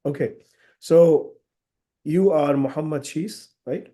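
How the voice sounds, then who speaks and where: A man in his thirties speaks over a video call with a husky, slightly sick voice, clearing his throat often. His tone is dark and mellow, and he talks in a measured, hesitant way full of fillers, like an examiner explaining the rules of an exam. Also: fairly high pitch. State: under the weather, husky voice. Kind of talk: formal exam briefing.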